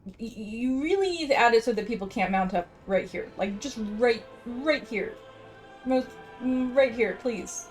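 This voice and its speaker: A woman's voice: fairly high pitch, expressive, a little slow. A woman hosting a gaming video, commenting casually with a sarcastic, sweary, exasperated manner. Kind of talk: casual game commentary, reading quest text aloud. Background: game music.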